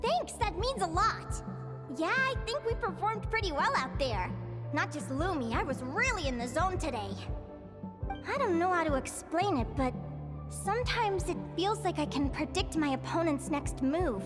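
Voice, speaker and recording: A woman voicing an earnest, excitable young heroine in an anime video game, expressive and determined. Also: extremely high pitch, moderate pace, music in the background. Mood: proud, pleased. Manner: expressive, exclamatory.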